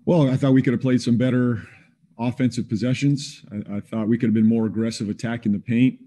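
Mellow-voiced coach in a postgame video call. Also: male voice, mid-range voice, steady tone. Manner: measured, plain-spoken, with frequent fillers. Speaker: basketball head coach. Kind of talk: postgame press conference. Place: indoors in front of a team backdrop.

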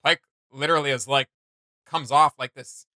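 Male reaction host, thirties, forceful bright delivery, slangy and rambling, dry close sound. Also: medium pitch, steady tone, moderate pace, dry recording. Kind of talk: casual reaction commentary. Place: small indoor home studio room.

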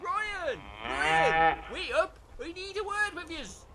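A man's voice: very high voice, huge pitch swings, slow pace, over a noisy crowd, full character voice. A man playing a chummy, cajoling village labourer and chancer who speaks in rustic dialect, in a comedy audio drama. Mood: eager.

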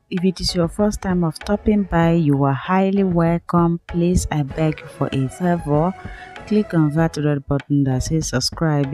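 A middle-aged woman with a Nigerian accent, hosting a celebrity news vlog in a low-key late-night delivery. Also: warm tone. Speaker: channel host.

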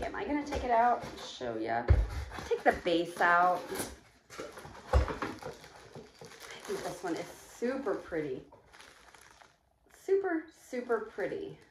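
A middle-aged woman chatting casually to camera at home, rambling and counting items aloud as she shows a shopping haul. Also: medium pitch. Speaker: vlogger showing a shopping haul. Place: echoey room at home. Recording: echoey home room, faint noise.

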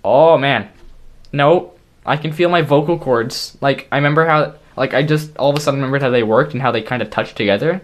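A teenage boy talking casually to his stream in a small bedroom, excitable and jokey, his voice strained from overuse. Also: medium pitch. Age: teens. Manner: excitable, jokey, talks fast in bursts. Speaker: YouTuber. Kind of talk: casual stream chat to camera.

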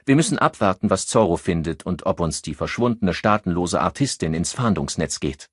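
A tense, ambitious policewoman played by a male narrator in an audiobook. The voice swings widely in pitch and has a husky edge. She is bossy yet insecure, speaking in brisk, clipped phrases. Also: lively intonation, no room sound, studio quality. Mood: brisk, commanding. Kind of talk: audiobook character dialogue.

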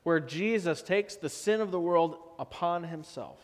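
A man in his thirties preaches from the pulpit in a church sanctuary, his voice a touch higher than most men's. He speaks slowly and deliberately, and he lets key phrases fall quieter. A long reverb from the room trails behind his words. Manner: slow, measured preaching with drops to soft emphasis. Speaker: pastor. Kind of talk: sermon.

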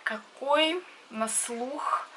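A woman in her twenties with a Donbass accent talks straight to her webcam in a small indoor room, chatty and blunt, laughing often. Her voice sits a little high and sounds bright and sharp. The delivery is casual, like a video blog. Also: drawn-out words, slight background noise. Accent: Donbass Russian. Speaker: vlogger. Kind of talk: casual to-camera chat.

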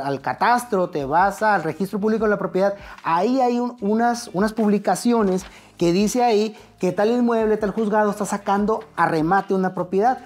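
A middle-aged man with a Mexican accent teaching real estate at a whiteboard, talking straight to the viewer in a conversational, step-by-step way, standing and gesturing in a studio. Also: high-pitched. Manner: conversational, addresses viewer directly, explains step by step. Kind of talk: whiteboard explainer lesson. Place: indoor studio set.

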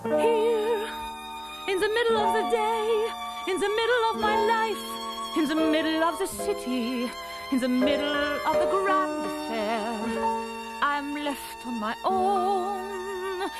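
An actress voicing a wistful blonde showgirl with a French accent, speaking soft, accented broken English in an early 1980s radio play. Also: very high voice, slow pace. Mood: singing, wistful. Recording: radio play with loud orchestral music.

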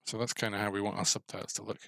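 A man's voice: on the low side, little pitch movement, rapid-fire, creaky, pushed and bright, dry recording, studio quality. A middle-aged man giving casual, mildly grumbling, self-deprecating commentary as he codes a game, on a headset mic. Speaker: game developer.

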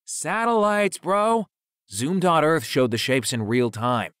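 A man voicing a hyper, excitable teenage stoner conspiracy theorist in an audiobook, with an emphatic cadence. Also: fairly high pitch, slow pace, clear, resonant tone, no echo, studio quality, voice pushed up, exaggerated voice. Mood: indignant, cocky. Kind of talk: audiobook character acting.

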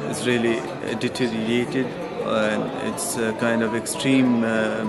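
A man in his thirties from Afghanistan, speaking slow, measured English full of pauses and fillers. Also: medium pitch, level tone, gravelly, loud music behind the voice. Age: 30s. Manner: measured, many drawn-out uh fillers. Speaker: interviewee. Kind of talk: personal testimony interview. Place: indoor meeting room.

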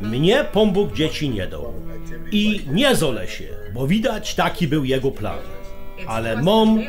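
An actor playing a blunt, bossy but generous older uncle with a Polish highlander accent in a radio drama. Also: male voice, huge pitch swings, unhurried, over music. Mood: solemn, generous.

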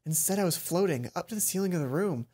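A man's voice: high voice, expressive, fast talker, darkish tone, husky. A young man reading a visual novel aloud expressively as a let's play host, with asides of commentary. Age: young adult. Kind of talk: expressive read-aloud narration and game commentary. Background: game music.

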